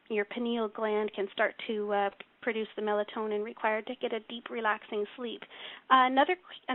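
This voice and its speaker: A woman's voice: on the high side, no echo. Young-sounding woman on a tinny phone line. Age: 40s. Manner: earnest, with many fillers and restarts. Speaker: speaker on a teleconference call. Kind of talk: casual personal talk.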